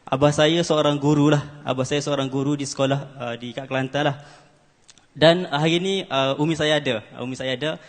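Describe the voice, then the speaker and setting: A man's voice: on the high side, steady tone, a little fast. A man in his twenties giving an earnest speech at an award ceremony, with many hesitant fillers. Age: 20s. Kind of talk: formal stage speech. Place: large ceremony hall. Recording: hall reverb.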